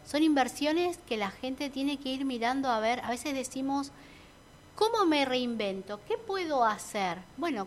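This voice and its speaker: Chatty Argentine woman, slightly high voice. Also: a little hiss or hum. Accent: Argentine Spanish. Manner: chatty, reflective, many fillers and restarts. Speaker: entrepreneur guest. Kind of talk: casual radio chat.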